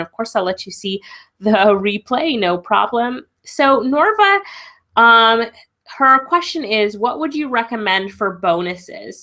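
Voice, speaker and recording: A woman's voice: mid-range voice, bright, forceful tone. Stuffy-nosed woman coaching a webinar. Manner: encouraging, chatty, laughs often. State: congested with a cold. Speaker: online business coach. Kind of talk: live webinar Q and A.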